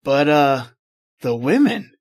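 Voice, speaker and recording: A man playing a brash, jovial American newspaperman in old-time radio drama style, slangy, chummy and excitable. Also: fairly high pitch, takes it slowly, voice pushed down, exaggerated voice. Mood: leering, teasing.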